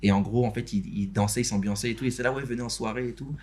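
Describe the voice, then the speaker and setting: A man's voice: medium pitch, fairly even intonation, racing, darkish tone. A young man from Benin speaks as a podcast guest, talking casually and hesitantly, with many fillers and restarts. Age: young adult. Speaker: podcast guest, interview host and motion designer. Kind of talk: casual chat. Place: podcast studio.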